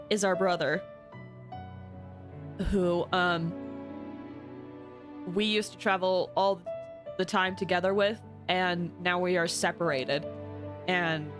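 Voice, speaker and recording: A woman streamer chatting casually over a game, with emotional, expressive, gushing reactions. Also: lowish voice.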